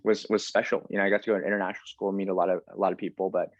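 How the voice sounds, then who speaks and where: Low-voiced man, guest on a podcast. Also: level tone, very fast, dry recording, lo-fi sound. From United States. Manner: chatty, many fillers and restarts. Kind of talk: casual interview conversation.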